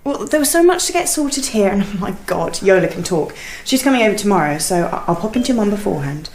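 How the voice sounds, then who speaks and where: An actress playing an uneasy, suspicious young wife in a naturalistic radio drama, questioning and insistent in a conversational way. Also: female voice, mid-range voice, sing-song, quick pace, a little mellow, a little hiss or hum, rough recording. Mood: chatty, practical.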